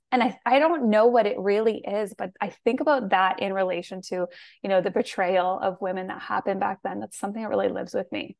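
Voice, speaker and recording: Animated woman podcast host. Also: mid-range voice, vocal fry, dry recording, clean studio sound. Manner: animated, emphatic storytelling with "like" fillers. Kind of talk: casual conversational chat.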